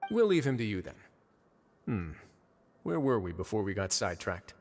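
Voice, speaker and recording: An actor playing a calm, authoritative, wry overseer of a fortress in a video game, measured and composed. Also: male voice, fairly low pitch. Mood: casual, wry. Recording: long reverb, background music.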